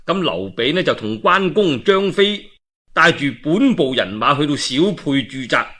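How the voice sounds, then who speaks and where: A man's voice: fairly high pitch, sing-song, measured pace, pushed and bright. A man with a Cantonese accent telling a historical novel in a traditional oral style, with colloquial asides and dramatic phrasing. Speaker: storyteller.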